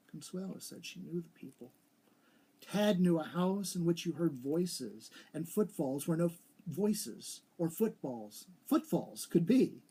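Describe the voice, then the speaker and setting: A man reads an old ghost story aloud in an expressive, literary way. His voice is slightly high for a man, very mellow and noticeably husky. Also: low-quality recording. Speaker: story reader. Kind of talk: live dramatic reading of a vintage ghost story.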